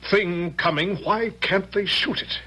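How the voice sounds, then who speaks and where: An actor playing a weary, pragmatic, commanding general in a radio drama, speaking in a measured, formal, authoritative way. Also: male voice, high-pitched, sharp tone, breathy edge, full character voice. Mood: frustrated. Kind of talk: vintage-style radio drama acting. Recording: faintly noisy radio broadcast recording.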